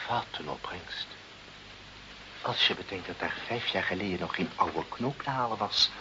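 A man's voice: deep voice, normal speed, close to an everyday voice. A man acting in a radio play as a pompous, peevish, muddled director of a government office, with a blustering bureaucratic manner. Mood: musing. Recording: dull, hissy old radio play recording.

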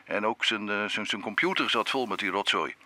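Sharp, bright-voiced desk sergeant. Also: male voice, on the low side, a little fast. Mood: dutiful, factual. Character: dutiful male police desk sergeant reporting in. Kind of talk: radio drama acting.

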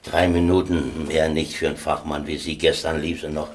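An actor playing a stubborn, lonely old man in a German radio play, halting, repetitive and pleading. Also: rumbling low, little pitch movement. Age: older.

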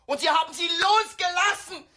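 An actor playing an indignant, sarcastic husband who confronts scientists in a radio drama. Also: male voice, very high-pitched, normal speed, pushed and bright, faint music behind, heavily acted. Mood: outraged. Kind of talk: German radio drama acting. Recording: noisy, with ambient sound effects.

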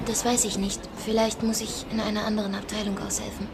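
A woman's voice: medium pitch, flat delivery, quick pace, background noise, natural voice. A woman playing a young record shop clerk in a radio play, polite, matter-of-fact and a little guarded. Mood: polite, reserved. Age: young adult. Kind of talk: radio drama acting.

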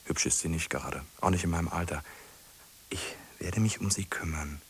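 An actor playing a solitary middle-aged man in a radio drama, ruminating in short questioning fragments with a husky, breathy voice. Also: low voice, normal speed, a little hiss or hum. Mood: brooding, resolving. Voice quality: husky, breathy, often sinking to a whisper. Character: solitary man fixated on a lonely woman, musing.

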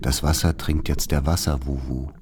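A man's voice: a bass voice, level tone, moderate pace, noisy background. A man narrating literary, scripted childhood memories for a radio play.

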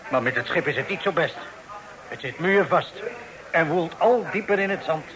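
Actor as wry, talkative old-time sea captain. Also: male voice, fairly high pitch, lively intonation, moderate pace, crowd noise, lightly acted. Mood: wry, resigned. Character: garrulous wry male sea captain full of sayings. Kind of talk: radio drama acting.

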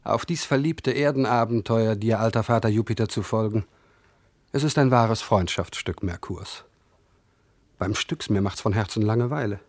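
A man plays a sardonic messenger god in a classical radio play. His verse is languid and wry, in a fairly low voice with a very dry sound. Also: normal speed, no room sound, lightly acted. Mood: bored, wry. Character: bored, sardonic messenger god grumbling about his errand. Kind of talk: classical German verse radio drama monologue.

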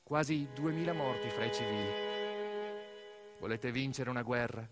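A man narrating a scripted theatrical monologue, telling the story in an emphatic, expressive way. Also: medium pitch, normal speed, over loud music. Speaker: actor-narrator of a solo theatre piece.

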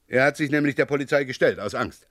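An actor playing a stern, sardonic French police inspector in a 1980s German radio crime drama, insistent and dryly sarcastic. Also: male voice, medium pitch, fast, a bit bright, dry recording, clean studio sound, natural voice. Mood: accusing.

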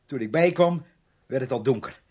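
An actor playing a confused, frightened drifter in a radio play, halting and stammering over repeated words in a husky, creaky voice. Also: male voice, mid-range voice, expressive, a little mellow. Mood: frightened, haunted. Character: confused, frightened male drifter confessing to a killing. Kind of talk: Dutch radio play acting.

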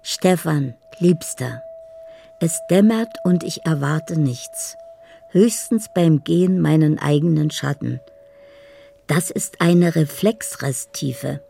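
A woman's voice: low voice, takes it slowly, creaky, mellow, faint music behind, full character voice. An actress playing a dreamy, lonely woman who muses poetically over her love letters in a radio drama. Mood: dreamy, musing. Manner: poetic, musing. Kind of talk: literary radio drama monologue.

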